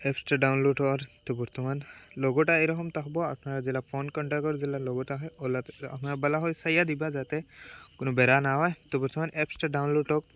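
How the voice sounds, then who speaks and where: The Sylheti man on a small how-to channel, rattling through tap-by-tap instructions in an even, unvarying voice. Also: medium pitch, very fast, clean tone. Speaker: tech vlogger giving a phone tutorial. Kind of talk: casual step-by-step tutorial.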